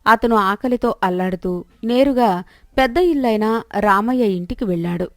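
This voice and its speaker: A woman's voice: mid-range voice, normal speed, no room sound, studio quality. A woman narrating a scripted moral story as a storyteller.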